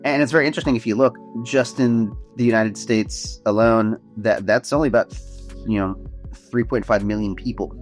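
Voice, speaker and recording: A man consulting on advertising, explaining in a relaxed, conversational way. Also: fairly low pitch, moderate pace, faint music behind. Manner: relaxed, explanatory, uses y'all. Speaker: paid traffic consultant. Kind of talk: casual business conversation.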